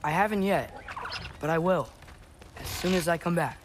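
An earnest teenage boy from a Norse fantasy game, played by a young man. His voice sits a bit high for a male, and he talks in awkward, hesitant bursts. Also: normal speed, noisy background, natural voice. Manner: hesitant, stammering. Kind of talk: video game dialogue acting.

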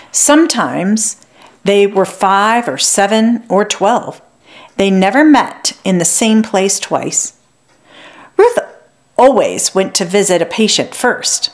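A woman reading a children's novel aloud as narrator, with an expressive storybook delivery. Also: mid-range voice.

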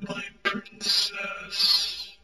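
A man playing a war-weary, mournful robot prince in a halting, melodramatic monologue. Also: high voice, completely flat delivery, pushed and bright, reverberant, soft background music, exaggerated voice. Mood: sorrowful, pained. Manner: halting, melodramatic, broken phrasing. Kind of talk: dramatic amateur character monologue.

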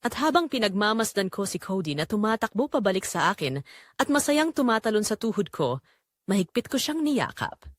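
A woman's voice: medium pitch, very animated, brisk, dry recording, studio quality. A young woman with a Filipino accent narrating a scripted first-person romance story in an emotive, reflective way.

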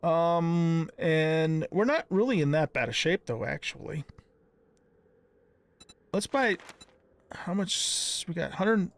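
A man's voice: on the high side, expressive, slow, long pauses. A middle-aged man giving casual let's-play commentary, thinking aloud in a folksy, exclaiming way. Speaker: gaming channel host. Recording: clear background noise with clicks.

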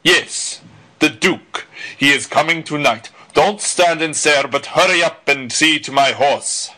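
An actor playing a blustering, swaggering bully who barks imperious, insulting orders in a dramatised audiobook. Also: male voice, on the high side, a little slow, pushed and bright. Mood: bullying, commanding.

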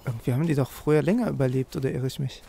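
A man's voice: mid-range voice, racing, vocal fry, dark tone, slightly rough. A man giving casual gameplay commentary, talking informally and half to himself as he plays. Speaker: let's player, gaming host. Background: game music.

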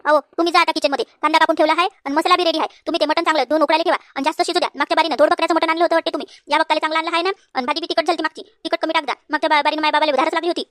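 A woman's voice: high-pitched, fairly even intonation, very fast, few pauses, fairly bright tone, no room sound, clean studio sound. A woman voicing a bossy married daughter in a comedy cartoon, scolding in rural Varhadi Marathi from Vidarbha. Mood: bossy, nagging. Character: bossy married daughter, fussing over her parents, quick to scold.

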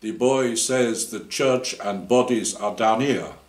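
A man's voice: mid-range voice, slow, echoey room, voice pushed up, lightly acted. A man reading aloud as a grizzled, wary French archaeologist who searches for words in English. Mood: grave.

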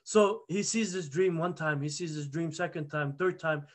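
A man's voice: a little high, normal speed. A man in his thirties teaching a halaqa, earnestly retelling a prophet's story with frequent restarts, recorded on a webcam indoors. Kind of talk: storytelling religious lesson. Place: plain indoor room. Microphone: webcam video call.

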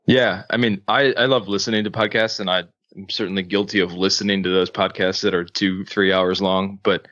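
A man, a podcast guest, talking in a relaxed way with many ums, from a studio space. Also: low-pitched, normal speed, no echo, dull audio. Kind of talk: casual interview.

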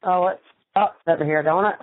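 A woman's voice: lowish voice, fairly quick. Blunt woman on a tinny phone. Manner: blunt, slangy, swearing. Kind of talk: casual phone chat. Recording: tinny phone line, faint noise.

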